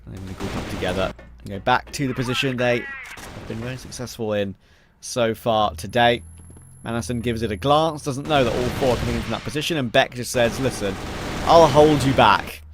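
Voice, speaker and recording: A man's voice: mid-range voice, brisk, background noise. A man in his late twenties doing play-by-play commentary on an esports match, trading easy banter with a co-caster. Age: 20s.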